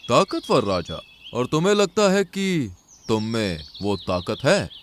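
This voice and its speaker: Cartoon lion ruler, played by a man, calm sage giving measured warnings; expressive intonation, faint noisy backdrop. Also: medium pitch. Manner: measured, admonishing. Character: wise, patient, calm lion king.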